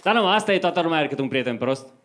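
High-voiced young male stand-up comedian. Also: animated, a bit bright, a faint crowd. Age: young adult. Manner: conversational storytelling to a live audience. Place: on stage in a dark comedy club. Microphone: handheld microphone. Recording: faint noise, live club stage recording.

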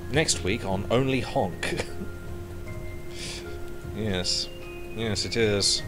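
A man's voice: on the low side, drawn-out words. A man in his thirties chatting to himself as he plays a game, on a headset mic. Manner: chatty, talks to himself while playing. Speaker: streamer. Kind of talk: casual gameplay commentary. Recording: game music under the voice.

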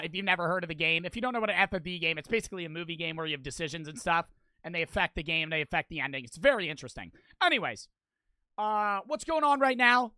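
A man in his twenties streaming a game on a headset mic, excitable and swearing, with his voice cracking from wear. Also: sits high, dry recording. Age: 20s. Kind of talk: livestream gaming commentary. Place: small bedroom streaming setup. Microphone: headset mic on boom arm.

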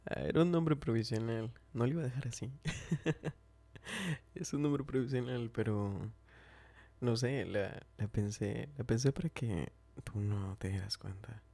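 Guy playing a smitten best friend in asmr, soft mellow voice with some creak, whispery, affectionate n teasing. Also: mid-range voice, no echo. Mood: gently teasing. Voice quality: soft, breathy, often whispering. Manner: slow, hesitant, affectionate, repeats himself. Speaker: ASMR roleplay creator. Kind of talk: ASMR roleplay, intimate chat.

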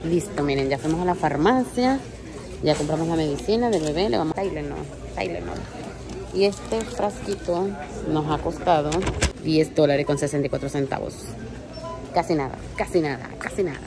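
A woman's voice: low voice, moderate pace, noisy background. A woman talking casually and playfully to her viewers in a family vlog, speaking from inside a store. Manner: chatty, playful, addresses viewers directly. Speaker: family vlogger. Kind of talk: casual vlog chat to camera. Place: indoor store pharmacy area. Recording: music playing in background.

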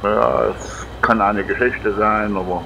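A man's voice: on the low side, unhurried, vocal fry. A middle-aged man chatting casually as a guest co-commentator on a gaming let's play. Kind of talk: casual chat. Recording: noisy, steady fan or vehicle hum.

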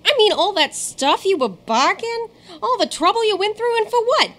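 A woman voices a wisecracking runaway kid in a radio play, her high voice bouncing with sarcasm. She teases and doubts everything she hears. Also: sing-song, normal speed, a bit bright, full character voice. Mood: sarcastic. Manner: sarcastic, teasing. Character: sarcastic young runaway, mouthy and skeptical.

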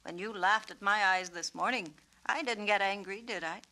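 A woman voicing a kindly, wise male peacock in a storybook, patient and measured as he teaches. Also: mid-range voice, bright, forceful tone, poor audio. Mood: gentle. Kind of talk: storybook character reading.

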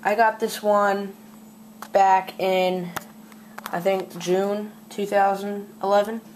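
A speaker casually showing off a collection on a handheld camera at home, halting and often clearing the throat or coughing. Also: mid-range voice, little pitch movement. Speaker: video host showing a collection. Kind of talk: casual handheld product show-and-tell. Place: indoors at a desk in a home room. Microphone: handheld camera's built-in mic. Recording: noisy home camera audio, clicks.

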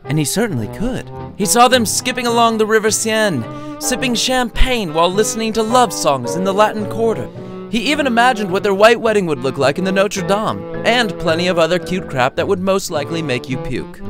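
A man's voice: sits high, animated, brisk, over music. A man reading his own story as a wry, theatrical narrator. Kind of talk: lively scripted storytelling narration.

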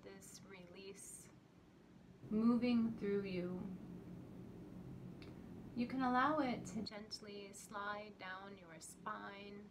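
Slow, gentle woman guiding a meditation. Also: mid-range voice, steady tone. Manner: soothing, gentle, with long pauses between short phrases. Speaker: meditation guide. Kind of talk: guided meditation. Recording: clearly noisy recording with ambient sound.

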